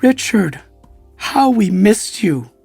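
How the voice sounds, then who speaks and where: A loving mother, acted out by a male narrator, crying out with emotion in an audiobook memoir. The voice is raised high and a little husky. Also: big pitch swings, slow pace, darkish tone, dry recording, soft background music, full character voice. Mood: overjoyed, tearful. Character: tearful, loving mother greeting her returned son.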